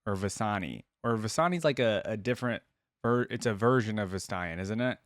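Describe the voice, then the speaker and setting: A man's voice: lowish voice, fairly quick, no room sound. Dry, close-sounding man reacting casually. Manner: musing aloud, short interjections. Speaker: reaction video host. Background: faint music.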